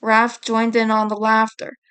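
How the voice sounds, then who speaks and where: A woman narrates a story from a script in a high-pitched voice. Her reading is slow and measured, as a fiction narrator. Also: completely flat delivery, fairly bright tone, clear, resonant tone. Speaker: fan fiction reader, narrator.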